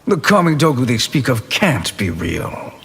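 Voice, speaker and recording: A man's voice: medium pitch, sing-song, unhurried, vocal fry. A man playing a cunning, haughty sorcerer in a fighting game, with a calculating manner. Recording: long reverb, faint noise.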